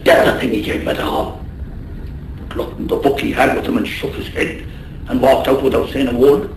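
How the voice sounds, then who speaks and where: An actor playing a loyal, warm-hearted Irish seaman in a radio drama, with colourful turns of phrase. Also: medium pitch, steady tone, moderate pace, creaky, natural voice. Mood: indignant. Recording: roomy, faintly noisy radio drama.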